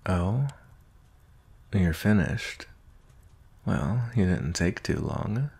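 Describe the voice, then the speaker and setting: A man playing a gruff but tender werewolf bounty hunter in an ASMR roleplay, soft-spoken and gentle, with hesitant pauses. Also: expressive, heavily acted. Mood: tender, bashful. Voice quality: very deep, gravelly, husky.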